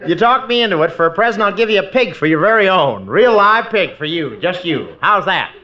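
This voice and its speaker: A man's voice: high-pitched, big pitch swings, fairly quick, muffled recording, voice pushed up. A man voicing a cantankerous old hillbilly husband in a 1950s radio comedy sketch, on an old radio recording. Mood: folksy, teasing.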